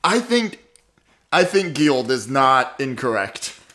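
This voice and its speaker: A man's voice: medium pitch, big pitch swings, slow, bright, forceful tone. A man hosting a comedy podcast with improvised banter.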